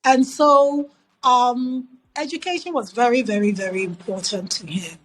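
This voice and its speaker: A middle-aged woman with a Ghanaian accent, telling her life story as an interview guest in a measured, reflective way with emphatic repetition. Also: a little high, low-quality recording.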